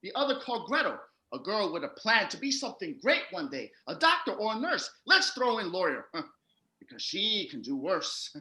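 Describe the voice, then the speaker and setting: A man playing an excitable German fairy-tale storyteller, emphatic and playfully scolding in a comedic stage show. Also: fairly high pitch, full character voice. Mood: storytelling. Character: Wilhelm Grimm, excitable German storyteller brother of fairy tales. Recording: roomy, echoey home recording.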